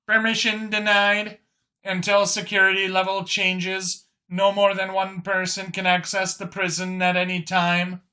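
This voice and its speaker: A man's voice: sits high, completely flat delivery, unhurried, sharp tone, voice pushed up, full character voice. A man voicing a formal, unyielding prison security computer in a narrated science fiction story. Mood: impersonal, firm.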